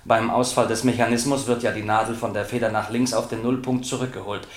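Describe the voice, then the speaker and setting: A man acting a police expert witness who testifies in deliberate, factual officialese in a radio drama. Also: medium pitch, little pitch movement, lightly acted. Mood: dry, factual. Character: dry, precise male police expert witness. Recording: roomy, echoing radio drama studio.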